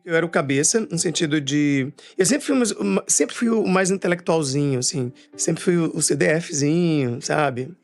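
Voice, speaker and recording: A man from Bahia with a Bahian accent telling family stories in a relaxed interview, chatty and quick to laugh. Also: on the high side. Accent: Bahian Portuguese. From Bahia, Brazil. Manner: chatty, laughs often, fillers like "né" and "assim". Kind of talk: casual storytelling interview.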